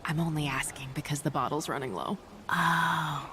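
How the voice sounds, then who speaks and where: Low calm woman acting a superhero lady for a game, gently teasing and kind, noisy ambience behind. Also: moderate pace, natural voice. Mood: wry, teasing. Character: calm, kind, wry female superhero doctor wielding thunder hammer. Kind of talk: naturalistic video game dialogue.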